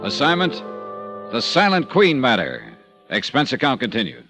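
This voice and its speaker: A hard-boiled American investigator in a vintage radio drama. His mid-pitched voice swings briskly through clipped lines and pointed questions, on an old broadcast recording with prominent music. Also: wildly swooping pitch. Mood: dry, narrating. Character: brisk, probing male insurance investigator. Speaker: lead actor in detective radio serial. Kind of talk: 1950s radio acting and first-person narration.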